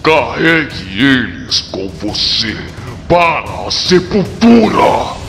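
A Brazilian man voicing a ruthless, menacing alien tyrant in a comic, with drawn-out shouted threats and long reverb. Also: medium pitch, sing-song. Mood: menacing rage. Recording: long reverb, music behind.

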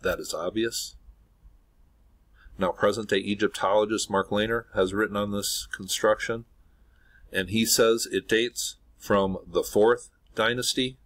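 A man's voice: lowish voice, steady tone, measured pace, a bit bright. A man narrating his own screen recording in an earnest, speculative way, leaning often on "obviously". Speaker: video host.

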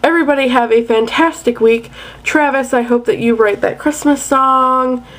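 A woman's voice: high voice, moderate pace, rough recording. A young woman chatting casually and playfully to her webcam in a bedroom at night. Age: young adult. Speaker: vlogger. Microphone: webcam at close range.

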